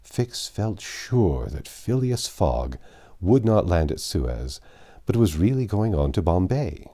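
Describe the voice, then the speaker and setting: A man reading a classic novel aloud as an expressive audiobook narrator. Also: sits low, slow pace.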